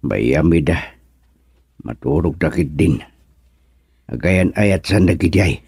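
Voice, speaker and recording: An actor playing an easygoing older village husband in a comic radio serial, drawling and chuckling with lots of grunts. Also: male voice, very deep voice, lively intonation, slow pace, creaky, darkish tone, slightly rough, full character voice. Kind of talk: comic radio drama acting.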